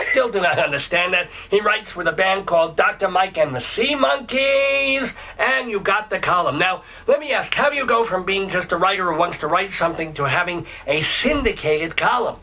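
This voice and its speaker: A man's voice: high-pitched, sharp tone. A man hosting a talk radio interview, blunt and incredulous, firing wisecracks and questions at his guest. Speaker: radio host.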